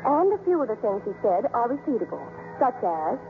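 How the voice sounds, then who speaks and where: A woman's voice: a little high, sing-song, brisk, noisy background. An American actress playing a wry woman who narrates the story in a 1950s radio drama. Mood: wry narration. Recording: tinny old radio broadcast with music.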